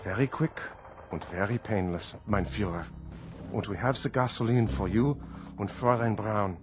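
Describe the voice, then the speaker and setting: An actor playing a cold, dutiful Nazi aide in a radio drama, measured and grim. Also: male voice, fairly low pitch, music in the background, natural voice.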